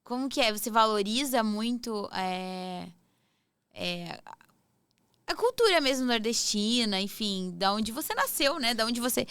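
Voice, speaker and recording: A woman's voice: fairly high pitch, expressive, unhurried, smooth, no echo, clean studio sound. A young adult woman from southern Brazil, hosting the interview, asking questions in an agreeable, backchanneling manner. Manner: warm, agreeable, frequent backchannel. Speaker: podcast host.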